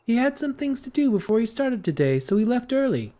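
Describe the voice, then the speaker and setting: A woman playing a calm, kindly farm aunt in an amateur table read of a film script. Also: fairly high pitch, fairly quick. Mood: gentle.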